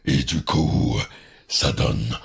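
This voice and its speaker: A man voice actor demonstrating a deep monster voice, heard from some distance off the mic. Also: drawn-out words, full character voice. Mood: menacing, slow. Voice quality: gravelly, very husky. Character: deep gravelly male monster voice. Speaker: voice actor giving a tutorial.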